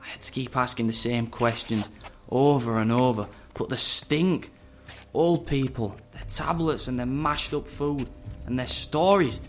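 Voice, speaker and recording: A smug, menacing double agent acted by a man in a sci-fi audio drama. He taunts and talks down in a possessive, mid-pitched voice over clear background music. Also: a little slow, close to an everyday voice. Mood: smug contempt. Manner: taunting, condescending.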